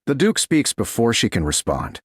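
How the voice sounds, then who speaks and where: A man narrating a scripted romance story in a measured, deliberate way, with short dramatic phrases. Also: medium pitch, huge pitch swings, dry recording, clean studio sound.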